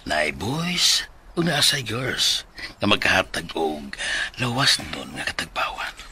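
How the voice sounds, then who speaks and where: A greedy, oily priest in a radio drama. The voice is lowish but very sharp and forceful, slow and animated, dripping with false piety. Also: male voice, slight background noise, exaggerated voice. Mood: sly. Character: greedy, hypocritical priest, unctuous and self-assured.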